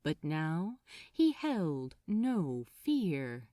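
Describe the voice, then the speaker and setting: A woman reading a story aloud as a dramatic, expressive and deliberate narrator. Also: deep voice, no room sound, clean studio sound. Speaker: audiobook narrator.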